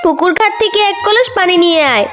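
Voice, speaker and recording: A woman's voice: extremely high pitch, fast talker. A woman voicing a harsh, suspicious fox aunt who scolds and accuses in a children's cartoon. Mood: scolding, suspicious. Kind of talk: Bangla children's cartoon dubbing. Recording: loud music behind.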